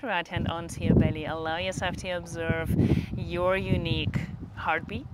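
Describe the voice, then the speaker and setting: Very slow-paced woman guiding a meditation. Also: fairly low pitch, noisy background. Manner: unhurried, short phrases with long pauses. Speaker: meditation guide. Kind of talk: guided meditation, slow spoken instructions.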